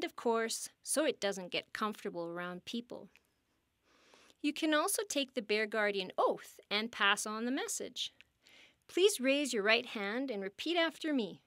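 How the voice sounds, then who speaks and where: A woman reading a scripted educational story as a park interpreter, with expressive, deliberate phrasing suited to families. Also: on the high side, no echo, clean studio sound.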